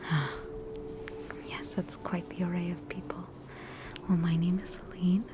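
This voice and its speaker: A woman with an Australian accent playing a polite, gossipy hospital witch in a softly whispered ASMR roleplay. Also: fairly low pitch, flat delivery, close to an everyday voice. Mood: curious, knowing. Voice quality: soft, breathy whisper. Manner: courteous, attentive, unhurried.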